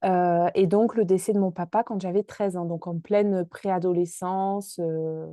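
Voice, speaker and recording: A woman's voice: on the low side, steady tone, unhurried, mellow, no echo, clean studio sound. A woman in her twenties from Marseille, talking hesitantly with many fillers as a podcast guest. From Marseille, France. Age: 20s. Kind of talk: casual interview chat.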